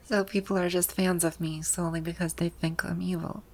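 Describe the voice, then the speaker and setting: A woman's voice: fairly low pitch, flat delivery, brisk, a little mellow, a little hiss or hum, lightly acted. A woman playing a haughty, easily flustered female final-boss villain from a video game in an ASMR roleplay, with an imperious, indignant manner. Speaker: voice actor.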